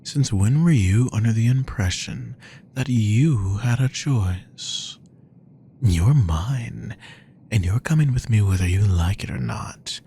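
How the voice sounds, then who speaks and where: A man voicing a possessive, powerful male vampire, speaking slowly with a gravelly voice and frequent whispers, menacing and obsessive, close to the mic. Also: fairly low pitch, lively intonation, dark tone, a little hiss or hum. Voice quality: gravelly, slow, often whispering. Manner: menacing, possessive, drawn-out delivery. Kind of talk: roleplay audio drama.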